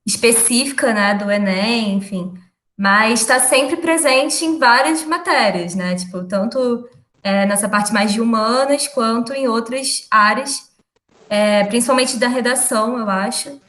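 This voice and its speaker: A young woman teaching an online class in a relaxed way, full of fillers and laughs. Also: a little high, a little slow, roomy sound. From Rio de Janeiro, Brazil. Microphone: video call.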